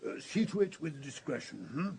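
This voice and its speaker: Genteel, creaky-voiced public prosecutor. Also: male voice, on the high side, lively intonation, normal speed, a little mellow, heavily acted. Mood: formal. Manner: hesitant, genteel, formal. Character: courteous, fussy, discreet male public prosecutor. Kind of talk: British radio drama acting.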